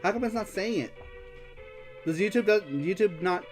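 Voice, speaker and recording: A man from the United States hosting a live-streamed show, chatting casually as he reads viewer comments. He is animated, laughs often, swears freely and slips into Spanish. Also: high voice, normal speed, soft background music. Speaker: host of a live-streamed retro gaming show.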